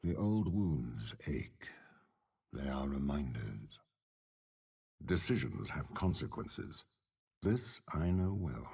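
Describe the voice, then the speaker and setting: Very deep, gravelly old warlord general. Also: male voice, big pitch swings, mellow, exaggerated voice. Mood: cold, scheming. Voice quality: gravelly, husky. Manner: commanding, measured, disdainful. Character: cold, cunning old male warlord general and schemer. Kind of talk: dramatic game character voice lines.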